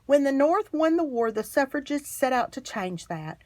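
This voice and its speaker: A woman reading a children's history book aloud in an expressive, emphatic storytelling manner. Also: sits high, moderate pace. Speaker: storybook reader.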